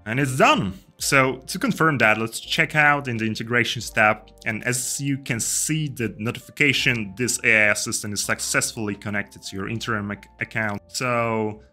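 A young man giving a casual step-by-step software tutorial, speaking into a close desk microphone indoors. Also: mid-range voice, moderate pace, few pauses. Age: young adult. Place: indoor room. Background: music.

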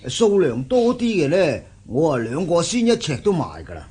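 An actor playing a genial, boastful older landowner in a Cantonese radio period drama, with an expansive avuncular manner and a Hong Kong accent. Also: male voice, a little high, huge pitch swings, normal speed, dark tone, breathy edge, full character voice.

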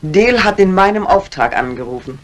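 Dignified, low-voiced lady of the house played by a woman. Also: animated, fairly quick, lightly acted. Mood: composed. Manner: poised, genteel, matter-of-fact. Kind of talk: 1950s German radio crime drama acting. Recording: faintly noisy vintage radio drama recording.

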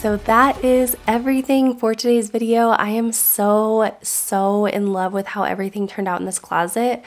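A woman's voice: on the high side, fairly even intonation, normal speed, soft background music. Upbeat chatty woman vlogger. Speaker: home organizing vlogger. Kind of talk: casual voice-over and to-camera chat. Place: at home, in a storage closet and upstairs hallway.

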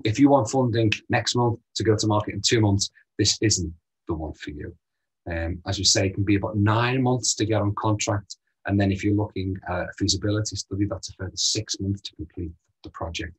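A man in his thirties talks through a funding webinar on a video call, direct and brisk, firing rhetorical questions at his audience. His voice sits low and sounds strongly raspy and husky. The sound is a plain computer-mic recording from a small room. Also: rough recording. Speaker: space ambassador presenting a funding webinar. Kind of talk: webinar presentation over slides.

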